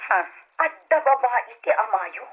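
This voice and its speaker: A thin, phone-like line carries an earnest, concerned mother in an old radio play. Her voice is somewhat high, with a breathy roughness. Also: animated, a little slow. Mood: dismayed. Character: concerned mother working away from home. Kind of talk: old radio drama acting.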